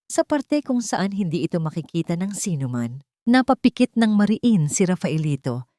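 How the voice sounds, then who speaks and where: A woman's voice: fairly low pitch, a little mellow, no echo, studio quality. A woman narrating a scripted story in a dramatic style.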